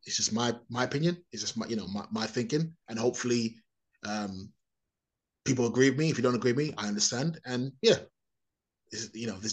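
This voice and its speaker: Guy on a podcast, rattles off his views quick, keeps restarting sentences. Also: medium pitch. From England. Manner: rambling, restarts often, repeats words. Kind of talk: opinionated podcast monologue.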